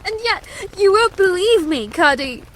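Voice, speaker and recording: A woman's voice: sits high, animated, normal speed, a bit bright, voice pushed up. A woman voicing an earnest, easily upset little princess girl with a pleading, exclaiming way of speaking.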